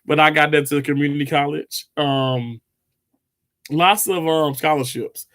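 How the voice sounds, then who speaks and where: A man's voice: on the high side, steady tone, normal speed, a bit bright. A man, chatting casually with viewers on a livestream, rambling with lots of fillers and restarts. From Mississippi, US. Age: 30s. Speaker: livestream host.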